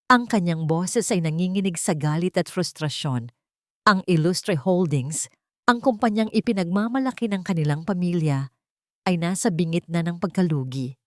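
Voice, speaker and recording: A woman with a fairly low voice narrates a dramatic story from a script. The sound is dry and close, with little room around it. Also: normal speed, a little mellow, no echo.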